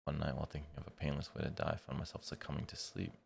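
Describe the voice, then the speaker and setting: A man reading a visual novel's inner monologue as a tormented, bitter young man, in a very deep, gravelly voice with a measured literary delivery. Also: level tone, slight background noise, exaggerated voice. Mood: grim. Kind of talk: read-aloud visual novel narration.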